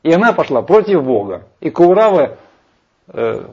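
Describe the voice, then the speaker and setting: Husky man arguing emphatically. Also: on the high side, very animated, moderate pace. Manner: emphatic, argumentative, rambling with hesitations. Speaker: speaker lecturing on Vedic scripture. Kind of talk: heated discussion. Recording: dull lo-fi sound.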